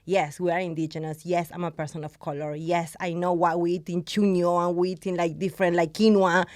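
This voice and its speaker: A woman's voice: lowish voice, flat delivery, fairly quick, darkish tone, no echo. Passionate woman guest, dry sound. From Argentina. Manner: passionate, switches into Spanish. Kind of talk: podcast conversation.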